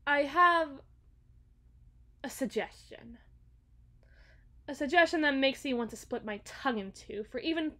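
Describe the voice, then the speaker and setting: A woman playing a haughty, battle-hardened evil queen in an ASMR roleplay, speaking formally and deliberately with dramatic pauses. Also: sits high, a bit bright. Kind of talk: ASMR roleplay monologue, scripted.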